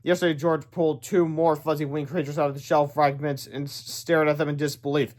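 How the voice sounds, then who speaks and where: A man reading a children's book aloud as storyteller, animated, with casual asides and stumbles over words. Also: a little high, fairly quick.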